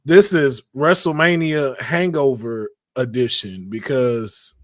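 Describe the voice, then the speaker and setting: A man hosting a casual podcast, raspy and hoarse after losing his voice, joking and laughing as he talks. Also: medium pitch, takes it slowly, dry recording. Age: adult over twenty-two. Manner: playful, joking, laughs often. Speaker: podcast host. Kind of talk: casual chat.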